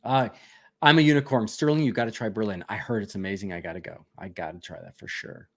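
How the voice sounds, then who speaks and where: A man's voice: fairly low pitch, fairly even intonation, quick pace, a bit bright. A man hosting a casual live question-and-answer stream, reading viewer questions aloud and answering them chattily with frequent laughs.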